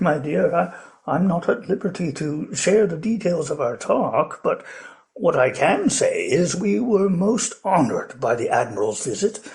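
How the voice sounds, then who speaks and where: Man playing elderly planter father, high swooping voice, pompous, courteous, hesitant, rambling, radio drama. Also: a little mellow. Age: older. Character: elderly, genteel, fussy colonial plantation-owner father. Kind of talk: radio play acting.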